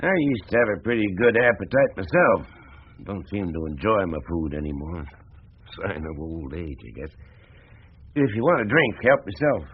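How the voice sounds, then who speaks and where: An actor playing a plain-spoken, aging ranch boss with a gruff, husky American voice in a radio drama. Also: male voice, sits low, animated, moderate pace, slight background noise. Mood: wistful, reflective. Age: older. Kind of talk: naturalistic radio drama acting. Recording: tinny narrow-band radio sound.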